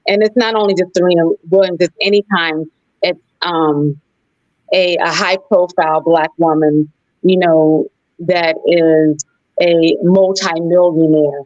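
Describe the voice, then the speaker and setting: A woman co-hosting a discussion on a video call, talking in a measured way with many "you know" fillers. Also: on the low side, steady tone, darkish tone, no echo. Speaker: co-host. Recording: dull, dry video call audio.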